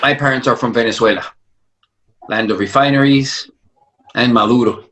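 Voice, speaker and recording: A man's voice: mid-range voice, moderate pace, fairly bright tone, lightly acted. An actor playing a wry, defensive young Californian man with Venezuelan parents, in a play reading. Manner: wry, laughing things off.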